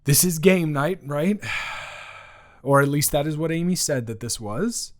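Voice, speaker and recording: A man playing an awkward, earnest ex-boyfriend in an improvised romantic roleplay, speaking haltingly and stammering to the listener. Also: on the high side, expressive. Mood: awkward, playful. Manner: halting, stammering, with long pauses. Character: awkward, earnest, teasing young ex-boyfriend trying to reconcile.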